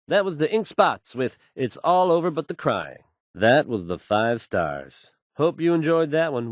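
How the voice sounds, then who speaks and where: A man acting a confident, smooth-talking, folksy old-time radio DJ for a video game. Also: medium pitch, dry recording, clean studio sound, lightly acted. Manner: assured, folksy, showman-like.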